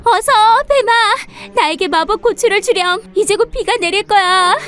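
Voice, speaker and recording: A woman's voice: very high voice, moderate pace, a bit bright, faint music behind, voice pushed up. A woman voicing a brave, loving female dragon in an earnest, pleading children's cartoon manner.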